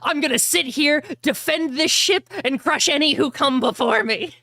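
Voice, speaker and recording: A woman voicing a gruff, defiant male warrior in a tabletop game, proud and contemptuous. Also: high-pitched, normal speed, pushed and bright, pitched above the performer's own voice. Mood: defiant scorn.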